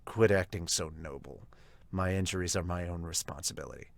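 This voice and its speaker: Guy doing a deep gruff grumpy fire hero dude, low and stern, dry. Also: a little fast, no room sound.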